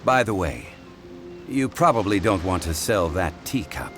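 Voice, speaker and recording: A man voicing a calm, learned male scholar who speaks in a composed, measured way. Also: deep voice. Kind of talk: game voice acting. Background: game music.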